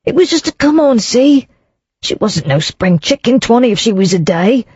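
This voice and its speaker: A reader voicing a smarmy Cockney salesman in his thirties, nervous and wheedling, pushing the pitch up. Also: big pitch swings, moderate pace, a little mellow, husky, no room sound. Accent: Cockney overlaid with refinement. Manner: wheedling, panicky, raised pitch. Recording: dull lo-fi, dry.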